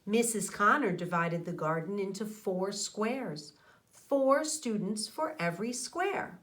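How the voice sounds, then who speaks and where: Slow, expressive woman teacher reading aloud. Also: mid-range voice. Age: middle-aged. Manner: expressive, addressing children directly. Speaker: elementary school teacher. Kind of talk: read-aloud lesson for young children. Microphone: microphone on a boom arm close to mouth.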